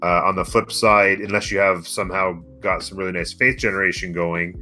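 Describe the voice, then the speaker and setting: Deep, bright-toned man commentating on gameplay. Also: steady tone, fairly quick, faint music behind. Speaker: co-caster of a strategy game match. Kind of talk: casual live game commentary.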